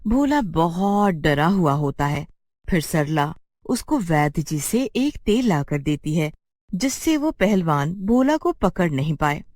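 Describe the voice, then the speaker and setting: A woman's voice: low-pitched, warm tone. A woman giving scripted narration as the storyteller of a moral tale.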